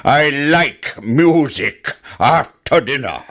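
A man voicing a huge wicked giant from a storybook, slow, drawn-out and commanding, hungry and bossy. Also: mid-range voice, lively intonation, fairly bright tone, pitched above the performer's own voice, heavily acted. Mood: bossy, demanding. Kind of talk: storybook character voice.

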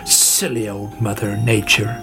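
A man's voice: lowish voice, level tone, unhurried, over music, voice pushed down. A man voicing an opinionated, grumbling old grandfather gardener in a storybook tale, muttering and exclaiming. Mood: smug, muttering. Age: older. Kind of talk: storybook character voice.

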